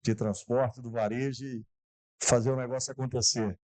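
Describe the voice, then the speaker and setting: A man's voice: medium pitch, flat delivery, moderate pace, dark tone, dry recording. A man hosting a live corporate event, chatting casually and talking straight to the audience. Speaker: event host.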